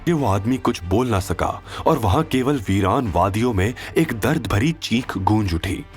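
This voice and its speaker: A man's voice: lowish voice, lively intonation, unhurried, faint music behind. A man narrating a scripted audio drama in a dramatic storytelling style.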